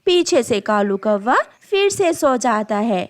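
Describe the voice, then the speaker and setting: A woman's voice: a little high, sing-song, takes it slowly. A woman narrating a scripted children's story as its storyteller.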